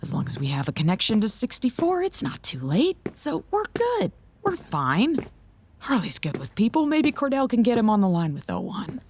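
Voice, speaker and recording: A woman's voice: medium pitch, wildly swooping pitch, normal speed, darkish tone. An actress playing an anxious woman in an audio drama, nervously reassuring herself, with faint noise and clicks on the recording. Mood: anxious hope.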